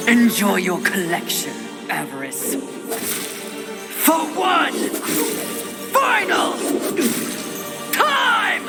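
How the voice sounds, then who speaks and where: A fierce female horseman of a fantasy game. She is haughty and scornful, her pitch moving animatedly as she gives orders. Prominent music plays behind her. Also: creaky, exaggerated voice. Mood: battle rage. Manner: scornful, imperious.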